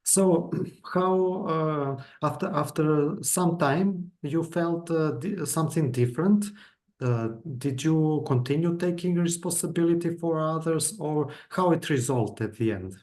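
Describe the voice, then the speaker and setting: A man's voice: a little high, unhurried, darkish tone. A man, a hypnotherapist interviewing his client in a reassuring way, with hesitant fillers. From Greece. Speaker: hypnotherapist host.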